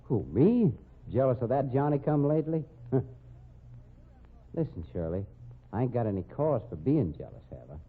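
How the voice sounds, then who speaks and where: An actor playing a jealous, boastful cowboy husband with slangy, folksy talk in an old-time radio drama. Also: male voice, medium pitch, sing-song, normal speed, long pauses, slightly rough. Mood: jealous, bitter. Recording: tinny, band-limited old radio recording.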